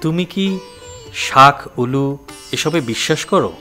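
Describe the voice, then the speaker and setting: A man's voice: fairly high pitch, animated, a little slow, sharp tone, soft background music. A man playing an earnest, tender young suitor with a secret in an audio drama. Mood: earnest pleading.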